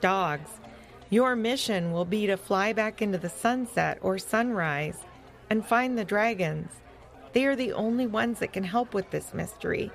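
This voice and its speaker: A woman voicing a stern, sly male coyote leader in a children's story, speaking deliberately and with authority. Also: medium pitch, creaky, soft background music, some chatter behind, voice pushed up. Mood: commanding.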